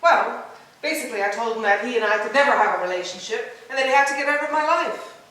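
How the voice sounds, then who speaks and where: A woman acts out a wistful, rapturous wife in a stage play, her voice fairly high. She rehearses in a very echoey room, picked up by a distant mic with faint noise behind. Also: fast, a bit bright, lightly acted. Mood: pleading, earnest. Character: wistful wife rapturous over her imaginary old lover.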